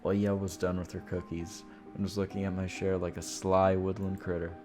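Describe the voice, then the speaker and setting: A man's voice: sits low, completely flat delivery, moderate pace, music in the background. A man streaming a visual novel, reading the narration aloud with informal, casual asides.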